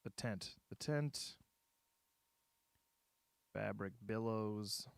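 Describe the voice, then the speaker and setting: A man on a casual livestream, thinking aloud haltingly as he drafts a script, muttering and repeating his phrases. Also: lowish voice, vocal fry, clean tone, dry recording. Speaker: streamer writing a visual novel.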